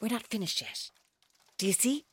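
An actress playing a controlling, taunting female captor in an audio drama, speaking in a deliberate, coaxing way. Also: a little high, expressive, fairly bright tone, husky, no echo, heavily acted. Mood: coldly coaxing. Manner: deliberate, coaxing, coldly mocking. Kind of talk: audio drama acting, monologue-like.

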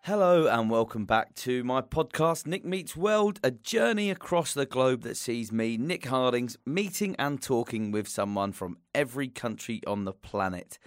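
A man hosts a relaxed podcast chat in a voice of middling pitch. He talks in a loose, friendly way, often making fun of himself. The sound is dry and close. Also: moderate pace, few pauses, no echo. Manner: chatty, self-deprecating, apologetic. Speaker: podcast host. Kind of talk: casual interview chat.

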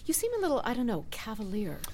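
A woman's voice: on the high side, wildly swooping pitch, fast, a little mellow. A woman acting as a wary, skeptical government office boss in a satirical audio drama, dryly questioning.

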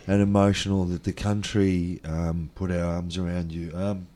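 A man with a fairly low voice hosts a radio interview. He asks probing questions in quick bursts and is openly moved by his guest's story. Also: little pitch movement, mellow. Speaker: radio host.

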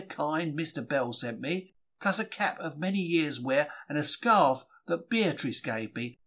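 A man giving an expressive, wry first-person reading of a diary. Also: a little high. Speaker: diary reader.

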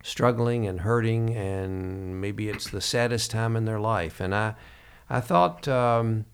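A man hosting a reflective interview, speaking in a halting, measured way. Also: on the low side, clean tone. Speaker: podcast host.

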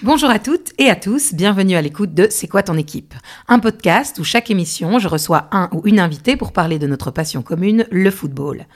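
A woman's voice: fairly low pitch, lively intonation. Friendly woman hosting a podcast. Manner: friendly, laughs often, quick follow-up questions. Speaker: podcast host. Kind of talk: casual interview.

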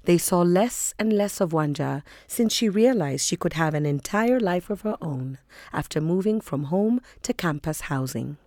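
A woman narrates a novel from a script. Her voice is a little low, and the sound is very dry with no room echo. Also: expressive, moderate pace, mellow.

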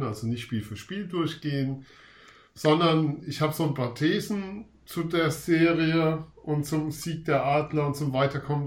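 A man's voice: on the high side, reverberant. A man with a cold, hosting a casual sports podcast in an upbeat, chatty way. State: has a cold. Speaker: podcast host.

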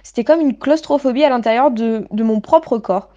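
A woman's voice: fairly high pitch, moderate pace, mellow, highs cut off. A woman in her late twenties giving articulate, literary, self-deprecating personal testimony as a guest in a radio interview.

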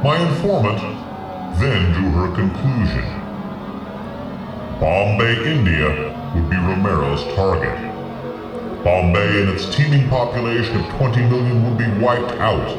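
A haunted, guilt-ridden male conspirator, played by a man, narrates a dark radio play in a solemn, brooding way. His low voice rises and falls dramatically. It sounds roomy and set back from the microphone. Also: animated, measured pace, pauses often. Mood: grim, measured. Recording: roomy, distant voice under loud music. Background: loud music.

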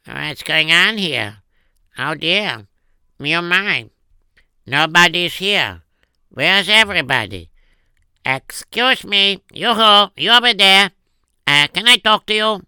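A man's voice: high voice, expressive, takes it slowly, long pauses, bright, forceful tone, clean tone, dry recording, voice pushed up, exaggerated voice, poor audio. A man doing a fussy, fretting old father. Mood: fretful. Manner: sighing old-man exclamations. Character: aging father, fussy and worried.

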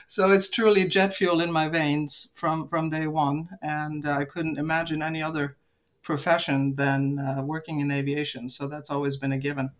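A woman's voice: sits low, little pitch movement, normal speed. Woman in her fifties from Luxembourg; upbeat, chatty guest telling her story. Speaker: podcast guest, aviation executive. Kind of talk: casual interview conversation.

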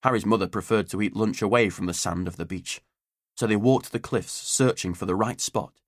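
A man narrates an audiobook in a low voice. The delivery is scripted storytelling, and the recording is dry and close, with no room sound. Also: brisk, no echo.

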